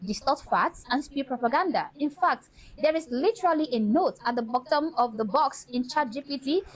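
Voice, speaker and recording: Higher-voiced woman giving critical commentary. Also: animated, moderate pace, low-quality recording. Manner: critical, formal wording. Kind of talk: commentary, fluent scripted-sounding delivery.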